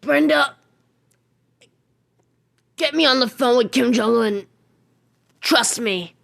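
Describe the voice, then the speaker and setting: Woman playing a high, creaky-voiced impatient president. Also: takes it slowly, fairly bright tone, exaggerated voice. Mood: alarmed, commanding. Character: impatient, pleading male American president.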